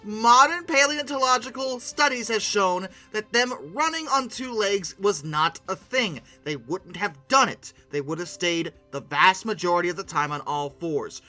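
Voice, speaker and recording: Sarcastic video reviewer, lively swooping pitch and a very bright tone, informal and theatrical, faint music underneath. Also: moderate pace, hardly any pauses. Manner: theatrical, swings into mock-outraged rants; sarcastic asides. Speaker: video game reviewer and host. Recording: music bed behind the voice.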